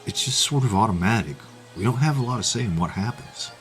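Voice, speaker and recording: Wise confidant man acting, fantasy audio drama: low-leaning, unhurried manner, calm counsel over faint music. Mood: calm, concerned. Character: calm male confidant, measured and advising.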